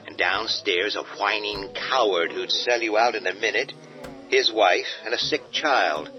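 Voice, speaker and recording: A man giving measured, dramatic scripted narration of a horror radio drama. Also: lowish voice. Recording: dull lo-fi audio with faint music.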